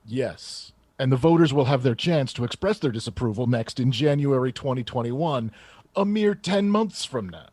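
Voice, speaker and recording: Male actor as political chief of staff, husky, very animated intonation, combative, confident, polished, radio drama. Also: mid-range voice, moderate pace, no echo, close to an everyday voice. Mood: earnest. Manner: assertive, polished politician's delivery. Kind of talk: radio theater acting, political interview answers.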